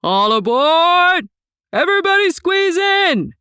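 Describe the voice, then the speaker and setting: A man's voice: extremely high pitch, sing-song, slow, pushed and bright, no room sound, studio quality, heavily acted. A woman doing a bellowing train conductor man. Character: loud harried male train conductor.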